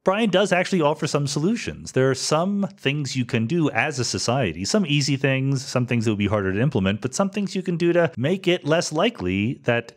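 A man's voice: mid-range voice, expressive, brisk, hardly any pauses. A man hosting a podcast interview, asking questions in a thoughtful, loose, discursive way. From United States. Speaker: podcast host.

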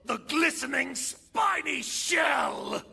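Shrieking, gravelly manic psycho. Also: male voice, animated, very slow, pushed and bright, shouting, exaggerated voice. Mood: wild exclaiming. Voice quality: shrill, gravelly, strained scream. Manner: declaims in short cryptic outbursts. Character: huge raving male psycho, manic and shouting nonsense poetry.

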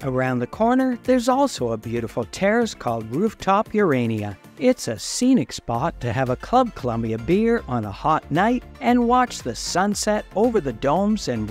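Man, tour-guide voice-over, fairly high voice, animated pitch. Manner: upbeat descriptive tour-guide reading. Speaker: travel vlog co-host. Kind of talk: scripted voice-over narration.

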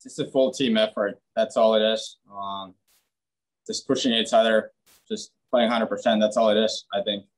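Young male pro player, low-pitched, blunt and plain, restarts often; post-game webcam presser. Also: steady tone, moderate pace, poor audio. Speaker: hockey player. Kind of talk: post-game media interview. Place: team media room, press backdrop, indoors.

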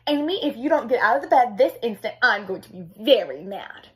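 A woman's voice: fairly high pitch, lively intonation, brisk, lightly acted. A woman voicing a stern, flustered storybook father who speaks in an emphatic, scolding way.

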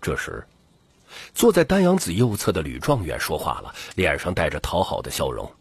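A man's voice: low voice, wildly swooping pitch, normal speed, husky, no room sound, faint music behind. A man reading dramatic scripted fantasy narration as an audiobook narrator.

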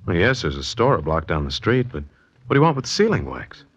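An actor playing an anxious, eager, boyish painter in a 1940s radio mystery, earnest and questioning. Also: male voice, sits low, big pitch swings, brisk, fairly bright tone, slightly rough, full character voice. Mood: puzzled. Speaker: supporting actor. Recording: muffled 1940s radio recording.

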